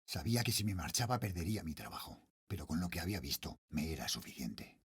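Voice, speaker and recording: A man playing a frightened father and night guard, telling his horror story in a Spain accent with tense, dramatic delivery and hushed phrase endings. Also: extremely low pitch, sing-song, fast talker, breathy edge, exaggerated voice. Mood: uneasy, bewildered. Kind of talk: scripted first-person horror narration, audio drama.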